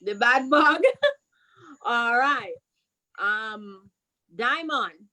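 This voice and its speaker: A woman's voice: fairly high pitch, very slow, sharp tone, poor audio. A woman, a school principal leading a question-and-answer chat with children, prompting them and repeating questions and answers back.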